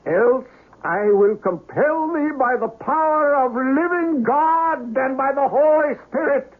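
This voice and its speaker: A man's voice: very high-pitched, lively intonation, measured pace. A man playing a grave doctor of the occult in a radio horror drama, speaking theatrically and solemnly. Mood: commanding, incantatory. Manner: grave, theatrical, archaic incantations. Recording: noisy, tinny old radio broadcast.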